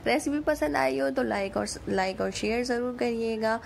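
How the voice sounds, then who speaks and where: Very creaky-voiced Pakistani woman, chatty. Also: a little high, normal speed, slight background noise. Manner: chatty, informal. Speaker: home cook, recipe channel host. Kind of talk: casual recipe voice-over.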